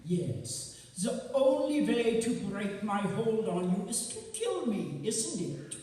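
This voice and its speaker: A menacing hypnotist villain of old radio drama, acted by a man, slow and mocking in delivery. The voice is high for a man and comes from a distance in a big reverberant hall with faint hiss. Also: very animated, warm tone, heavily acted. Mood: smug, taunting. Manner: deliberate, commanding, mocking. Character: sinister male professor, a menacing, commanding hypnotist villain. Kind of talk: old-time radio drama stage acting. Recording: distant, echoey hall, hissy live recording.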